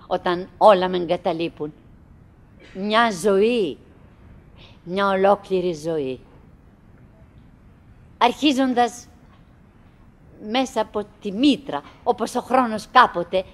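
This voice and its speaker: A high-pitched, wistful woman in a radio play monologue. She chatters anxiously to hold on to her routine, halting and circling back on her phrases, and moves theatrically from murmur to cry. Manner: halting, repetitive, with dramatic swings from murmur to cry. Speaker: stage and radio actress.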